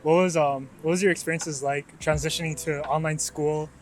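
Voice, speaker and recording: A young man with a slightly high voice hosts a relaxed interview chat. He asks his questions informally, and there is faint noise behind him. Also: normal speed. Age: young adult. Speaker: interviewer and video host.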